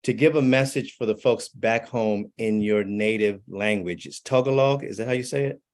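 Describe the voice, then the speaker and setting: A man's voice: fairly low pitch, normal speed, a little mellow. A man hosting a conversational interview, asking questions in an encouraging way. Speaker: interviewer, host.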